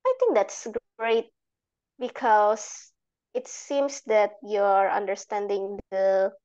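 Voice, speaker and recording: Young woman, Indonesian accent, slow halting delivery, evaluator giving feedback, dull lo-fi video call sound. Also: medium pitch, darkish tone. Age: young adult. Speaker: speech evaluator. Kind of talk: spoken speech feedback at a club meeting.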